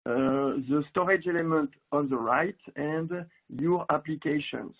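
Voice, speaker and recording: A man with a French accent presents a technical webinar over what sounds like a tinny phone line. His voice is pitched a little high, with a gravelly, husky edge. He talks hesitantly and often restarts his sentences. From France. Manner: hesitant, many fillers and restarts.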